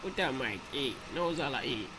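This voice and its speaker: A man's voice: medium pitch, lively intonation, normal speed, close to an everyday voice. A man voicing a chatty cartoon character who rambles and repeats himself, mixing in French, on a noisy amateur recording. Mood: rambling, reassuring. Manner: rambling, repetitive, mixes French into speech. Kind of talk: improvised-sounding dialogue in an amateur cartoon.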